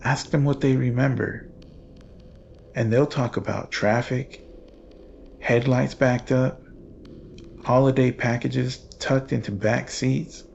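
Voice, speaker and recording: A man playing a brooding, world-weary paranormal investigator in somber, measured first-person horror narration. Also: medium pitch, fairly even intonation, creaky, darkish tone. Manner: somber, measured, literary storytelling. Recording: dull audio, faint noise.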